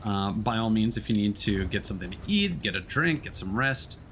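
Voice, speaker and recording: A man's voice: fairly low pitch, lively intonation, fairly quick, a bit bright, a little hiss or hum. A man livestreaming a video game, chatting casually and in a friendly way with his viewers. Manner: friendly, addresses chat viewers by name. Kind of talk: casual chatty stream talk while playing.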